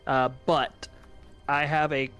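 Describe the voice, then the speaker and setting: A man's voice: a little high, flat delivery, slow pace, fairly bright tone, soft background music. A man playing a tabletop game over an online voice call, talking casually. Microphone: remote online voice call.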